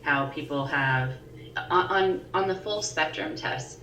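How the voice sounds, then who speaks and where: A woman in her forties with a deep, scratchy voice, co-hosting a casual podcast chat and often pausing to search for words. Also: fairly bright tone. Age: 40s. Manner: pauses and searches for words, frequent ums. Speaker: podcast co-host. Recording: roomy, slightly distant mic with faint ambient noise.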